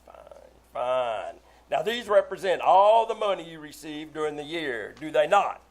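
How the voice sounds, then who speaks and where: A man performs an older judge from a vintage radio comedy. His voice sits high for a man, and he talks in a dry, precise, fussy way with a wry playful streak. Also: lively intonation, measured pace. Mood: businesslike. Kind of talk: old-time radio comedy acting. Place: live performance on a theater stage.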